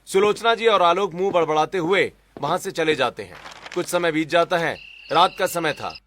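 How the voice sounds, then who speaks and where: A man's voice: on the high side, lively intonation, normal speed, fairly bright tone, a little hiss or hum. A man giving scripted narration of a story as its narrator.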